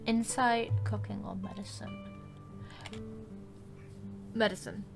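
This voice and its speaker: A woman's voice: mid-range voice, fairly even intonation, takes it slowly, creaky, music in the background. A woman hosting a gaming video, giving brief, offhand let's-play commentary.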